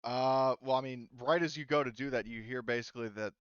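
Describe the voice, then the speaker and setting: Smooth guy game mastering, casual and halting, bit dull dry mic. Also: mid-range voice, a bit bright, no echo. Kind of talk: casual tabletop game narration.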